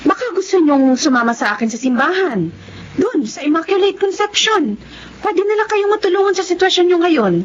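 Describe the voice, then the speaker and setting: A woman's voice: extremely high pitch, animated, fairly quick. An actress playing a kindly, forgiving neighbour woman in a Filipino radio drama, concerned and friendly. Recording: dull lo-fi audio with noise.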